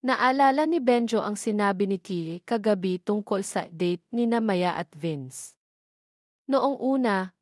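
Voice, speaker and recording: Female narrator for scripted romance audio stories, medium pitch, close dry recording. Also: darkish tone, dry recording.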